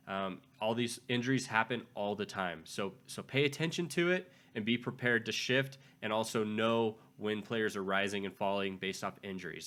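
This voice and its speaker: A man with a low, raspy voice, hoarse after a recent illness. He hosts a sports channel and talks casually to his viewers, stumbling and repeating words as he works through his thoughts. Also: sharp tone. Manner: conversational, frequent restarts and repeated words. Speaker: fantasy football channel host. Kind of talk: casual advice talk to camera.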